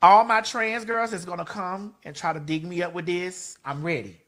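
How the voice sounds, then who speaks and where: A woman's voice: lowish voice, steady tone, moderate pace, a bit bright. A woman in her forties talking bluntly and emphatically in a casual podcast chat, repeating phrases for stress and swearing freely. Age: 40s.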